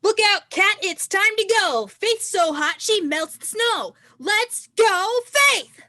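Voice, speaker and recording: A woman's voice: extremely high pitch, expressive, slow pace, pushed and bright, no room sound, studio quality, heavily acted. A woman playing a teasing, devoted young semi-pro snowboarder in a screenplay table read. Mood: playful.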